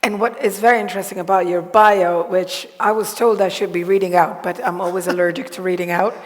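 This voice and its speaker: A woman's voice: fairly low pitch, steady tone, brisk. A woman moderating a conference session in an informal, conversational way, asking interested questions. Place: conference hall, on stage. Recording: echoey large hall sound.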